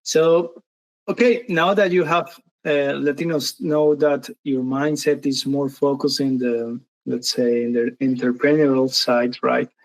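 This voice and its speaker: A man hosting an interview, asking his business partner questions with halting phrasing. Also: mid-range voice, fairly even intonation, rough recording. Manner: halting, with fillers. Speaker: interview host.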